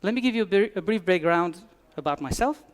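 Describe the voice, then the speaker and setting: Man, high-pitched voice, conference talk, dry humor, restarts phrases. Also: animated, brisk. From Albania. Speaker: conference speaker. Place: conference hall.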